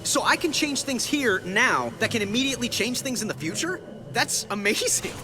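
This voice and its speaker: A man's voice: very high-pitched, sing-song, moderate pace, background noise. A man playing a young, wisecracking, stubborn superhero in a video game, with an American accent and a quippy, boyish, rambling delivery. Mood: quippy, excited. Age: young adult. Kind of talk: video game cutscene acting.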